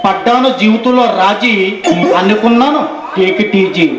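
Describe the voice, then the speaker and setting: A man's voice: high voice, unhurried. A man acting a lovelorn, boastful young suitor in a Telugu stage play, with theatrical, declamatory delivery. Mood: theatrical, self-mocking. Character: lovelorn, boastful young suitor courting his female cousin. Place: stage in front of audience. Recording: echoey stage hall, audience noise behind.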